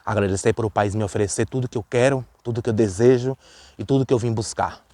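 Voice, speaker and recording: A man's voice: lowish voice, steady tone, quick pace, mellow, dry recording. A man from Brazil with a Brazilian Portuguese accent, giving an earnest, emphatic opinion monologue to camera. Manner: earnest, repetitive, emphatic preaching. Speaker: vlogger.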